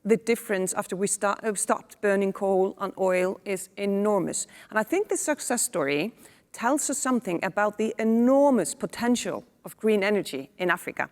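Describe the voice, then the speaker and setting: A woman in her forties from Iceland, moderating a panel with relaxed conversational asides and fillers, on a lapel mic in a studio. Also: medium pitch, moderate pace, in a large hall. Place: indoor studio set.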